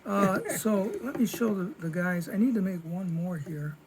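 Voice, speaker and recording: A man's voice: high voice, moderate pace, crowd noise, poor audio. A man casually explaining hobby modeling techniques step by step in a workshop. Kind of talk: casual hands-on tutorial. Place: basement workshop with paint booth.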